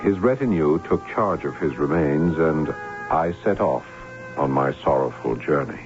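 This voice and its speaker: A man's voice: a bass voice, lively intonation, unhurried. An actor playing a sorrowful, guilt-torn young nobleman, narrating solemnly in formal, literary words in an old radio drama. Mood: sombre, confiding. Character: sorrowful young nobleman, guilt-torn impostor recounting his doomed love. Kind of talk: old-time radio drama acting, first-person narration. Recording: dull vintage radio recording, music behind.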